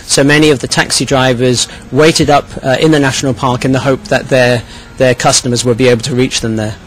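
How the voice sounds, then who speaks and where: A man giving a measured, explanatory account in an interview, sometimes repeating phrases as he goes. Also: mid-range voice, flat delivery, a bit bright. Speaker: hotel owner and tourist police volunteer interviewee. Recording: clearly noisy location recording with ambient sound.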